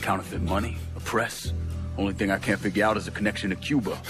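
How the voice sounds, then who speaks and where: A man's voice: on the low side, fairly even intonation, fast, over music, lightly acted. An actor playing a grim, relentless man out for revenge, terse and menacing, in a video game cutscene. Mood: cold menace. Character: grim, relentless vengeful man interrogating gangsters.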